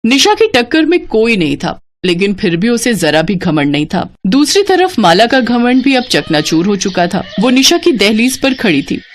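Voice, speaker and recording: Casting: female narrator for scripted moral stories, voice on the higher side. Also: sing-song, normal speed, few pauses. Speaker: storyteller.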